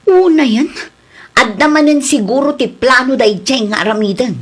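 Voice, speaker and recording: A worried, defensive wife in a radio play, with a fairly high voice. She speaks earnestly and pushes back against accusations. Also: lively intonation, normal speed, breathy edge. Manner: earnest, protesting. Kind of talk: radio drama acting.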